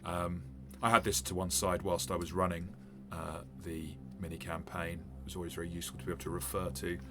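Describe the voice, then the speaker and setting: Deep-voiced man with many fillers. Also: little pitch movement, fairly quick, very noisy. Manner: rambling, with many fillers and restarts. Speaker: game master and reviewer. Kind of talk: casual unboxing commentary.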